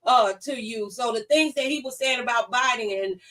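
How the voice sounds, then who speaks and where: A woman's voice: a little high, normal speed, fairly bright tone. A woman hosting a live call-in talk show in a conversational way. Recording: distant from the microphone.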